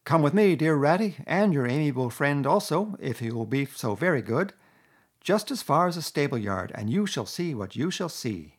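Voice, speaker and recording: Storybook toad, grand, bragging and exclamatory, a man putting him on, pitched up with big swings. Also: big pitch swings, normal speed, breathy edge. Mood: boastful. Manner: loud, gushing and exclamatory. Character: boastful excitable male toad, grandly pompous. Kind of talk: storybook reading.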